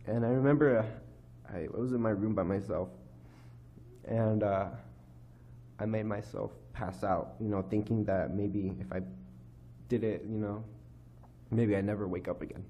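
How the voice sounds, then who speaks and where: A teenage boy giving a personal testimony at a church lectern, speaking haltingly with many fillers and restarts. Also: lowish voice, steady tone, highs cut off, slight background noise. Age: teens. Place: church hall, indoors. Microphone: microphone on a lectern stand.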